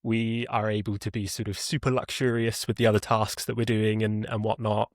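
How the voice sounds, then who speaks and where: A man's voice: on the low side, little pitch movement, brisk, dry recording, studio quality. A man being interviewed as a podcast guest, explaining conversationally, rambling and repeating words as he thinks. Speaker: podcast guest, head of customer experience.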